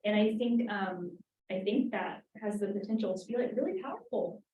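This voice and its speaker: A woman's voice: mid-range voice, animated, moderate pace, narrow, tinny audio. A woman instructor in a roundtable discussion, speaking thoughtfully with many "um"s and "like"s. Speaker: graduate language instructor. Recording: roomy, from far off.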